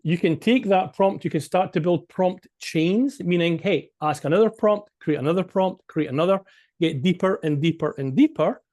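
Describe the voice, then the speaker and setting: High-voiced Scottish man presenting informally. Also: moderate pace, darkish tone. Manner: conversational, emphatic, often checks in with "right?". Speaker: business coach and consultant giving a presentation. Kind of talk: informal explanatory business presentation.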